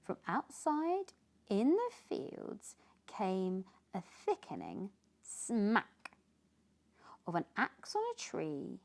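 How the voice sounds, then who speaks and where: A woman with a British accent narrating a children's story dramatically, as an expressive read-aloud storyteller. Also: mid-range voice, very slow, vocal fry. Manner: expressive, with hushed dramatic passages.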